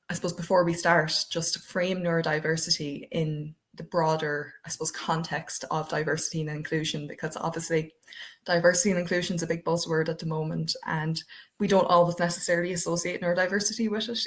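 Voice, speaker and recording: A young Irish woman with an Irish accent and a low, deep-set voice hosts an online webinar. She talks in a chatty, loose way, filling gaps with "um" and "I suppose". She sounds as if she is speaking into a computer microphone on a video call. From Ireland. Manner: chatty, many fillers like "um" and "I suppose". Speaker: webinar presenter. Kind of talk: webinar presentation.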